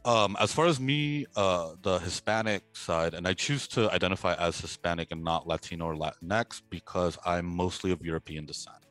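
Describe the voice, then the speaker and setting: Low-voiced man, animated and expressive. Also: normal speed, hardly any pauses. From Peru. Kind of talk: casual interview answer.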